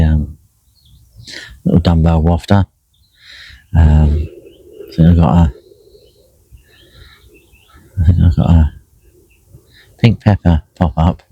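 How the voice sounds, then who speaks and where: A middle-aged man with a croaky voice, chatting casually by himself while out fishing, with lots of fillers and restarts. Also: very deep voice, no echo, low-quality recording. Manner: relaxed, rambling, many fillers and restarts. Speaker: carp angler vlogging a session. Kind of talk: casual solo vlog commentary. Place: in a fishing bivvy by a lake.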